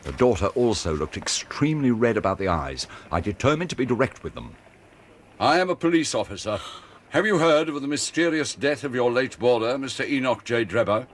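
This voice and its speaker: A man acting as a Victorian police inspector in a radio play. He speaks in a mid-pitched British voice. He is pompous and pleased with himself, blustering along with bumbling boastfulness. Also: animated, a little fast, a little hiss or hum, close to an everyday voice. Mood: official, narrating.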